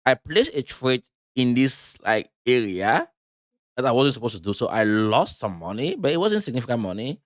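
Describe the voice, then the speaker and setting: A man's voice: medium pitch, big pitch swings, no room sound. Guy from cameroon talking through trading charts live, lots of ums and restarts, dry close sound. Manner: loose, halting delivery with many fillers and restarts. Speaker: crypto trading streamer.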